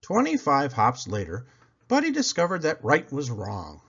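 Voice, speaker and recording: A man narrating a children's book aloud as an expressive storyteller. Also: medium pitch, normal speed, breathy edge, highs cut off. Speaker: storyteller, read-aloud host.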